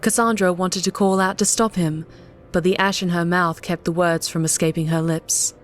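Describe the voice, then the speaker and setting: A woman's voice: lowish voice, fairly even intonation, normal speed, soft background music. A woman giving a scripted, dramatic narration of a story as an audiobook narrator.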